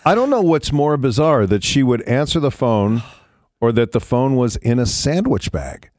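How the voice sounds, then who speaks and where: A man's voice: medium pitch, big pitch swings, normal speed, darkish tone, no room sound, studio quality. An older man hosting a morning radio show, chatting casually and speaking with emphasis.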